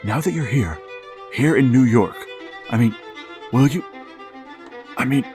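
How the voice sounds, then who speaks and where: A man playing a slow, halting grieving father. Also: mid-range voice, lively intonation, darkish tone, soft background music, natural voice. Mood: pleading. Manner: halting, stammering, emotional. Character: grieving father, older man, anxious and pleading. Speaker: narrator and father. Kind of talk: radio drama acting.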